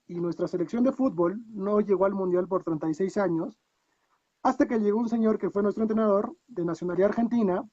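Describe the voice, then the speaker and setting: High-pitched Peruvian man, muffled call. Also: mellow, no echo. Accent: Peruvian Spanish. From Peru. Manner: reflective, many rhetorical questions and restarts. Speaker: guest coach. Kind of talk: casual interview talk. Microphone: video call.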